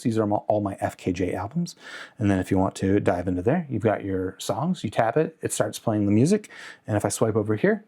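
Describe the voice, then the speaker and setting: A man's voice: fairly low pitch, mellow. A man presenting a product review in a casual, unscripted way, conversational and candid, with a mildly critical bent.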